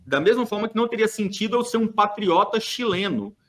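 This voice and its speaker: A man's voice: sits high, expressive, brisk, a bit bright. A man from Brazil speaking on a church podcast, making an emphatic, argumentative case with rhetorical questions and hesitant fillers. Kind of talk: opinionated podcast monologue.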